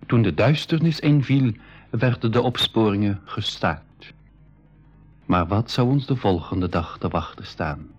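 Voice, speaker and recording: The narrator of a radio adventure, low and husky. He recounts events with scripted suspense, on a slightly dull old recording. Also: animated, a little slow, faint music behind. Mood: suspenseful.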